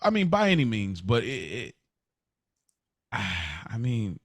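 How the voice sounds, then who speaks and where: A man's voice: fairly low pitch, huge pitch swings, slow pace, no echo. Man chatting, dry close sound. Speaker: podcast co-host. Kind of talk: casual chat.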